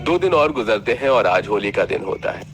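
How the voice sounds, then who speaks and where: A man's voice: lowish voice, big pitch swings, brisk. A man narrating a scripted children's story as the storyteller.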